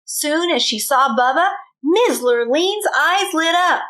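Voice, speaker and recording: A woman reads a picture book aloud for young children. Her voice is high and animated, and she takes it slowly, like a teacher. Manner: expressive, addressing young children with questions. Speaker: kindergarten teacher reading aloud. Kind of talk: children's storybook read-aloud.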